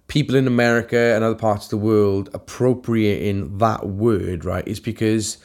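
A man's voice: on the low side, steady tone, normal speed, darkish tone. A Welsh man co-hosting a casual podcast chat, talking in an emphatic, heated way and swearing freely. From Wales. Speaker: podcast co-host, tattoo artist.

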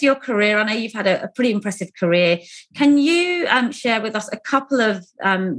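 A woman's voice: mid-range voice, fairly bright tone. A woman hosting a podcast, interviewing her guest in a conversational style. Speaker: podcast host.

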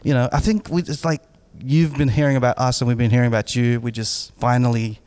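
A man's voice: mid-range voice, steady tone, a little fast, a little mellow, smooth. A man co-hosting a casual podcast, joking, punning and laughing often. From Australia. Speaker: podcast co-host.

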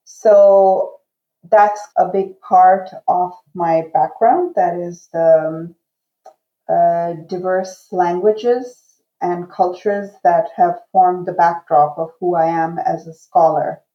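A woman in her fifties who grew up in Iran and whose first language is Urdu answers in a slow, measured, reflective way. Her voice sits a little low. The sound is roomy and dull, like a remote call. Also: steady tone. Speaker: guest, education professor. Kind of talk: interview answer.

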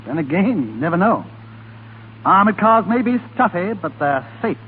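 An actor playing a brisk, officious army colonel, clipped and authoritative, in 1930s radio drama. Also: male voice, high-pitched, sing-song. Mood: suspicious, brisk. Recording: tinny, very noisy 1930s radio.

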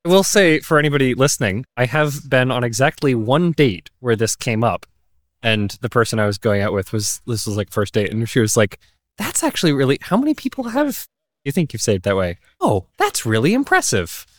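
A man chatting casually at a meetup, telling stories in an animated, self-deprecating way. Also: mid-range voice, a little fast, dry recording, studio quality. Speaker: meetup attendee. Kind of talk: casual group conversation. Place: indoor event space.

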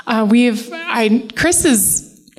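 A woman speaking as a guest pastor in a church, talking casually with halting phrasing and frequent laughter. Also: a little high, expressive. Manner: warm, laughs often, halting. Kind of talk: casual chat. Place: church sanctuary.

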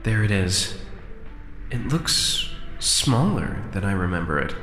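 A man's voice: on the low side, very animated, slow pace, a bit bright, exaggerated voice. A man acting in an audio drama, playing an anxious, reflective young man, in a reverberant space with music behind. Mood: wistful. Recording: echoey reverberant room, music underneath.

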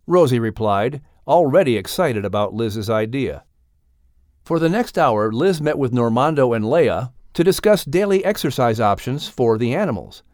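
A man narrating a children's story in an expressive style as an audiobook reader. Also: mid-range voice, moderate pace, dry recording, studio quality.

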